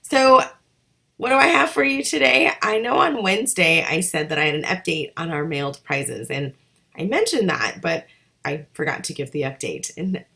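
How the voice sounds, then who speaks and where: A middle-aged woman, hoarse from allergies, giving chatty, upbeat morning school announcements. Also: sits low, lively intonation, moderate pace, pushed and bright.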